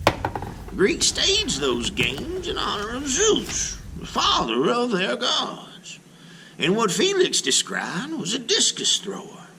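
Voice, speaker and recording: A man's voice: extremely high pitch, huge pitch swings, slow, background noise, voice pushed up, heavily acted. A man voicing a kindly, knowledgeable grandmother in a storybook, emphatic and exclaiming. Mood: assured, explaining.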